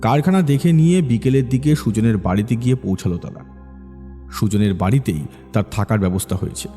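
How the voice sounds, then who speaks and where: A man's voice: medium pitch, a little fast, mellow. A man narrating a scripted horror story as its storyteller. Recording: long reverb, music behind.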